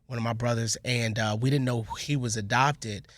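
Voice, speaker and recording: Male interview guest, mid-range pitch, agreeable emphatic manner, casual delivery, very dry recording. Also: fairly even intonation, moderate pace, fairly bright tone, dry recording. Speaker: playwright guest.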